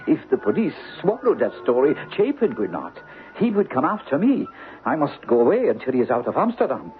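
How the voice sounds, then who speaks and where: An actor playing a worried, shifty hotel concierge with an anxious, formal way of speaking in old radio drama style. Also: male voice, mid-range voice, huge pitch swings, brisk, soft background music. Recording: tinny, noisy old radio recording.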